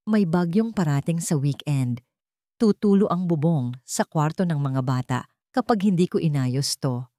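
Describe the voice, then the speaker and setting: A woman's voice: low-pitched, lively intonation, normal speed, dark tone, no echo. A resolute young Filipino family man acted out by a woman narrator in a romantic audio drama. He is devoted and plain-spoken, and the recording is close and dry. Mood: firm. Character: firm, devoted young husband and father.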